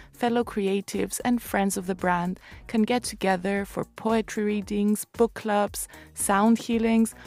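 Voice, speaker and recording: A woman hosting a radio show, reading a polished scripted introduction at a measured pace and then asking interview questions at a live podcast recording in a showroom. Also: medium pitch, darkish tone.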